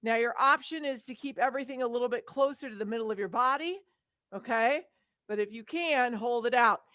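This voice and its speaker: A woman's voice: fairly high pitch, brisk, a bit bright, dry recording. Upbeat woman fitness coach, Texas twang. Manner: upbeat encouraging coaching with countdowns. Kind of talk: live workout class cueing.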